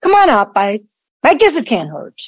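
A woman's voice: a little high, wildly swooping pitch, normal speed, slightly rough, no room sound, studio quality, exaggerated voice. A woman playing a wary, proud tycoon's daughter in an audio play, guarded and proper in manner. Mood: hesitant, polite.

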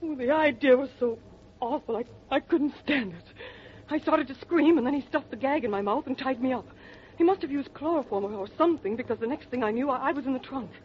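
Actress as pleading young radio femme fatale. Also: female voice, high voice, brisk, natural voice. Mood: frantic. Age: young adult. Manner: breathless, pleading, emotional. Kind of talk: 1940s radio melodrama acting. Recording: dull, noisy old radio recording.

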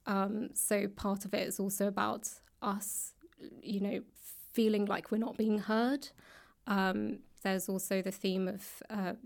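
Woman guest with an even, level pitch. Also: measured pace, creaky. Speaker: guest author and actor. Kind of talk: conversational podcast interview answers. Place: library room.

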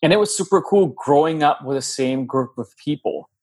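A man's voice: fairly high pitch, darkish tone, husky. A man from Pakistan, a podcast guest, talking about his life in a friendly, reflective way over a video call. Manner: friendly, reflective, drawn-out phrasing. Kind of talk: casual podcast interview.

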